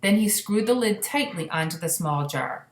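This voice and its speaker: A woman reading a children's story aloud, pausing for casual explanatory asides to young listeners. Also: mid-range voice, expressive, a little hiss or hum. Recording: echoey room.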